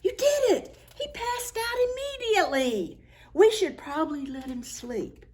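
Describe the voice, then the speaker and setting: A woman hosting a preschool story time, reading picture books aloud in an expressive, sing-song way and speaking directly to children. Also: very high voice, a little slow.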